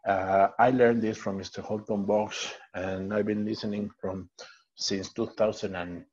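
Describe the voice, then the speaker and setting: A man's voice: lowish voice, flat delivery, a little slow, darkish tone, slightly rough, lo-fi sound. A man from Mexico with a Mexican Spanish accent, Spanish his first language, giving an online training talk with many fillers and restarts. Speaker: network marketing trainer.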